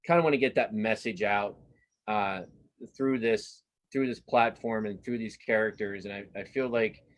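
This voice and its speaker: Halting, earnest middle-aged man. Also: on the low side. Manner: halting, self-interrupting, earnest. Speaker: cartoonist being interviewed. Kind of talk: casual live interview.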